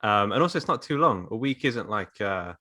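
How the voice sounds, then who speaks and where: A man's voice: on the low side, animated, fairly quick, sharp tone, no echo, clean studio sound. A man chatting casually as a guest writer on a podcast panel.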